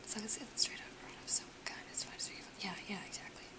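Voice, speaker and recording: A young woman with an American accent whispering casually to her live stream viewers, a little sniffly, close to the mic. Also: fast. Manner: soft whisper throughout, chatty, laughs often. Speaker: ASMR streamer chatting with viewers.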